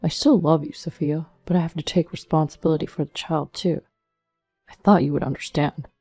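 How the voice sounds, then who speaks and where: A woman's voice: deep voice, fairly even intonation, brisk, mellow, slightly rough, no room sound, faint music behind. A woman voicing an evasive, self-excusing husband in a narrated story, speaking in a pleading way.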